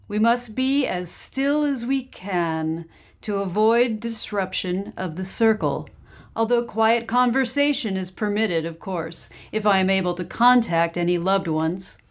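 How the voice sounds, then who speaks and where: A seance medium lady, kinda high voice, formal and solemn, theatrical radio play style. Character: gracious, solemn female seance medium hosting guests. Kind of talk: old-time radio drama acting.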